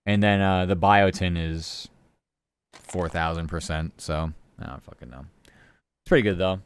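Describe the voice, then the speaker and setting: A man's voice: low voice, unhurried, clean tone, no room sound. A man streaming live, chatting casually and rambling from topic to topic while answering his chat.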